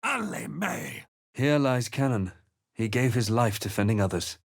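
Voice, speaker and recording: A composed, wry male adventurer from a fantasy video game, heard close and dry. His voice sits at a middling male pitch. He speaks in measured, literary phrases with a theatrical weight. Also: sing-song, vocal fry, no echo, heavily acted. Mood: intense incantation. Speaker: video game player-character voice actor. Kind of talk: dramatic fantasy game voice lines and narration.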